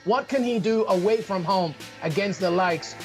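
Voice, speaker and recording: A man from Peru giving emphatic soccer analysis on a sports show, talking fast and using rhetorical questions. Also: sits high, faint music behind.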